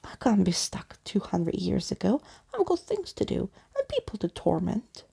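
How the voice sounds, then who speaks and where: A woman acts out a mischievous storybook girl with a short temper. She is bossy and sneering, snapping insults in a creaky, slightly husky voice. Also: medium pitch, wildly swooping pitch, normal speed, dark tone, exaggerated voice. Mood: exasperated.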